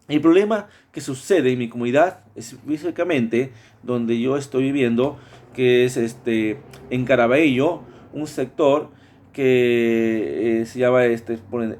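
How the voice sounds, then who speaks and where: A man with a Mexican Spanish accent gives a spoken class presentation. His pitch sits in an ordinary middle range. He pauses often, fills gaps with drawn-out sounds and restarts his phrases. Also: darkish tone. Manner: hesitant, many fillers and restarts. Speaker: student presenting a project.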